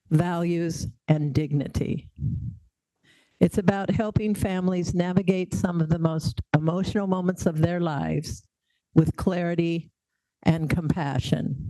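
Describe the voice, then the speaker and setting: A woman's voice: lowish voice, mellow, no echo, poor audio. A woman in her sixties, an estate planner on a panel, speaking in an earnest, prepared way with repeated phrases. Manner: slow, earnest, repetitive phrasing. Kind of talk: prepared speech.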